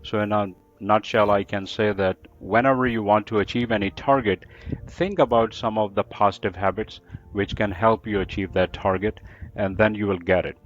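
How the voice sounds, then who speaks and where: A man's voice: lowish voice, steady tone, normal speed, dull audio. A man with an American-trained accent, a teacher, answering interview questions in an emphatic, explanatory way, often repeating phrases. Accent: American-trained English. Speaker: book reviewer, English teacher.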